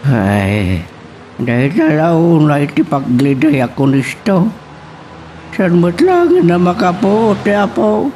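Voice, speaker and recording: A man's voice: on the high side, big pitch swings, slow, darkish tone, a little hiss or hum. A man playing a weary, worried old father in an Ilocano radio drama.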